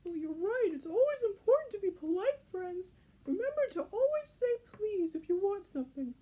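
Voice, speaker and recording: A woman's voice: extremely high pitch, very animated, moderate pace, mellow, pitched above the performer's own voice. A woman voicing a whiny, pleading childlike puppet in a children's puppet show. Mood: pleased, earnest.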